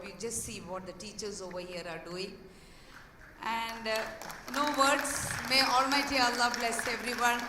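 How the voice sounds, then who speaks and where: A woman giving an earnest, hoarse speech as a school head at an event, pausing with fillers and restarts. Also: fairly high pitch. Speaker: guest speaker, school head. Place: large hall. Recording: echoey hall, distant mic, faint noise.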